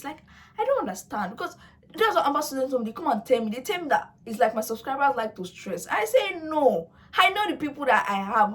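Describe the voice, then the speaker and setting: A young woman whose first language is Yoruba, talking casually to her viewers in a chatty, coaxing way with playful asides. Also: fairly high pitch. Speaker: vlogger. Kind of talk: casual talk to camera.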